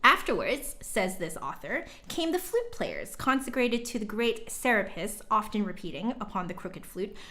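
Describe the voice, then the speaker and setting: A woman's voice: medium pitch, sing-song, normal speed, few pauses, sharp tone. A woman livestream host reading an old text aloud dramatically, breaking off for informal, chatty asides to viewers.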